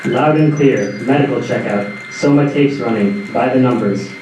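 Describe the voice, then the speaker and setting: A man's voice: medium pitch, normal speed, darkish tone, natural voice. A man playing a commanding mission control officer in an amateur stage play, giving clipped official orders in an echoey theater. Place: theater stage, picked up from a distance. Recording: echoey distant stage recording, faint noise.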